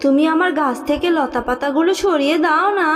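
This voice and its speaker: A woman's voice: sits high, brisk, long reverb tail, soft background music, natural voice. A woman voicing a kindly, generous talking magic tree in a cartoon, earnest and reassuring. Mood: grateful.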